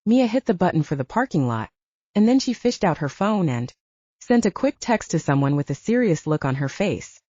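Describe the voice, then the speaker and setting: A woman's voice: on the low side, big pitch swings, normal speed, warm tone, no echo, dull audio. A woman reading a first-person audiobook story as its narrator. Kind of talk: first-person fiction narration with acted dialogue.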